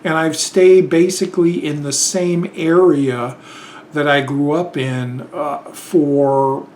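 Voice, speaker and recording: A middle-aged man chats loosely to camera, stopping and restarting his sentences with plenty of ums. His voice sits fairly high for a man. A faint hum runs underneath. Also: steady tone, slow, a little mellow. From Chicago, Illinois. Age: 50s. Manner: rambling, hesitant, many fillers and restarts. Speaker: YouTube channel host. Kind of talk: casual tag video monologue.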